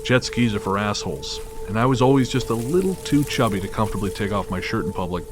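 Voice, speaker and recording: A man narrating a scripted first-person horror story in a measured, literary storytelling manner. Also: fairly low pitch, lively intonation, over music.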